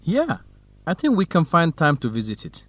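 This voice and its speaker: A man playing a warm, helpful young student researcher in an educational radio drama. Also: a little high, huge pitch swings, moderate pace, dry recording, heavily acted. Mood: helpful, interested.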